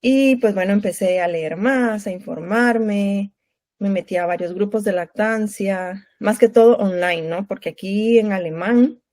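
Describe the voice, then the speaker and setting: A woman's voice: mid-range voice, a little slow, mellow, no echo, studio quality. A woman in her thirties from Guatemala with a Guatemalan Spanish accent, a lactation consultant chatting as an interview guest, often adding little tag questions. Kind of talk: conversational interview.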